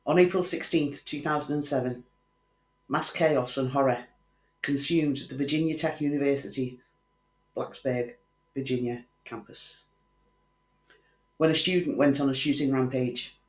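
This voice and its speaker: A man's voice: medium pitch, fairly even intonation, moderate pace, pauses often. A man hosting a true crime channel, telling a case in scripted narration with wry conversational asides to the viewer. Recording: echoey room.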